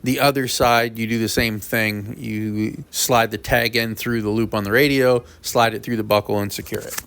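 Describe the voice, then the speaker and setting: A man's voice: on the low side, steady tone, normal speed, fairly bright tone, clear, resonant tone. A man narrating an unboxing and review, explaining features step by step in a plain, matter-of-fact way. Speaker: product reviewer.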